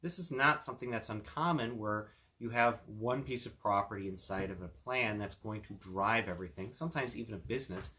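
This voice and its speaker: A man's voice: fairly low pitch, fairly quick, hardly any pauses, pushed and bright. A man reading a fairy tale and explaining its lesson in an informal, explanatory way. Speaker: attorney reading a fairy tale and giving estate tips. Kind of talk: storytelling and informal explanatory talk.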